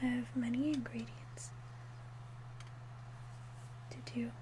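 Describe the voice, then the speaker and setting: A woman softly half-whispering in an ASMR roleplay as a calm, helpful witch, with a gentle, deliberate delivery. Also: fairly high pitch, noisy background. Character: calm, helpful female witch selling love potions.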